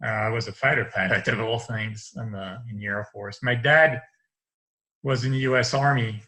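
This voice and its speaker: Man, 50s, light French accent, bright edgy tone, loose rambling video-call guest. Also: mid-range voice, fairly even intonation, moderate pace. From France. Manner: rambling, restarts often, light laughs. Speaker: interview guest. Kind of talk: casual conversation over video call. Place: home study with bookshelves. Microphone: video call webcam.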